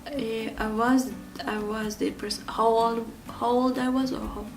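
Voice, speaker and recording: A woman in her thirties from Ecuador telling her own story in an interview, speaking haltingly with many fillers and restarts. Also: fairly high pitch, flat delivery, creaky, distant mic, a little hiss or hum. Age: 30s. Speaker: interview guest.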